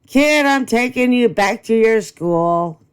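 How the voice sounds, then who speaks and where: Lady doing a curt no-nonsense cop, commanding, slow storybook read. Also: on the high side, animated, smooth, voice pushed up. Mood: brisk. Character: brisk no-nonsense male police officer.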